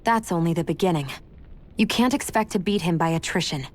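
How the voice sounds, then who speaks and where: A woman's voice: on the low side, brisk, natural voice. An actress playing a prickly, haughty young woman fighter in a fantasy video game, curt and aloof. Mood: stern warning. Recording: game music under the voice.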